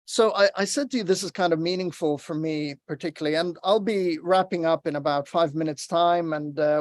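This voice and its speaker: A man's voice: on the high side, darkish tone, no echo, clean studio sound. A man from Lebanon giving an online workshop talk, earnest and encouraging as he tells a story over a video call. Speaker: workshop presenter.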